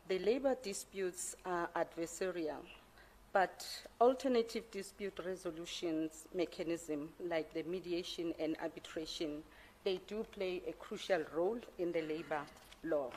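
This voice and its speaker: A woman on an interview panel, asking formal questions in a deliberate way. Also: fairly low pitch, darkish tone, poor audio.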